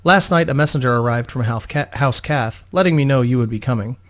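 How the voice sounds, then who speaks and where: A man's voice: medium pitch, a little fast, close to an everyday voice. A man voicing a young female opera singer, polite, grateful and slightly anxious, in a storybook reading. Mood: polite, welcoming.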